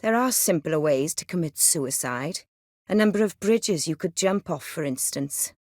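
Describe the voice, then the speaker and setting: Poised, ancient vampire noblewoman, lowish voice. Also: animated, moderate pace, a little mellow, no echo, studio quality. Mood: cool, wry. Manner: poised, refined, dryly condescending. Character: ancient, aristocratic female vampire, cool, worldly and secretive. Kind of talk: video game dialogue acting.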